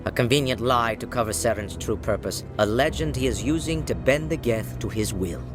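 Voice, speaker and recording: A shrewd alien councillor, voiced by a man for a video game. He speaks at a middle pitch, coolly probing and dismissive of what he doubts. Music plays clearly behind. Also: brisk, natural voice. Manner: probing, sceptical.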